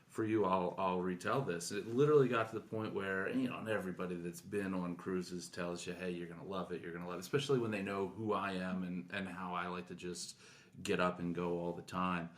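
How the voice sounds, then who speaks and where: A man co-hosting a podcast, speaking in a casual conversational style. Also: low-pitched. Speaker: podcast co-host.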